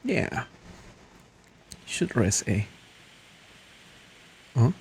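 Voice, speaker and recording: A man's voice: medium pitch, very animated, drawn-out words, gravelly, darkish tone, slight background noise. A young man giving casual gameplay commentary in short, slangy, murmured reactions.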